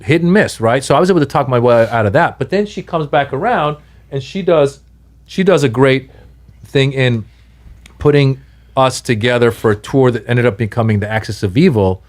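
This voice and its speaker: A middle-aged man from Iran, telling long stories in a casual podcast chat and jumping up in pitch when he gets excited. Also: moderate pace. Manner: animated storyteller, pitch jumps high when excited. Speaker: guest comedian.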